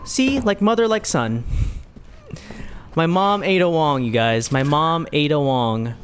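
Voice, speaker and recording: High-voiced man chatting through a game. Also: lively intonation, measured pace, fairly bright tone, a little hiss or hum. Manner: playful, rambling, reacts to the game. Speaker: streamer playing a video game. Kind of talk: casual playthrough commentary.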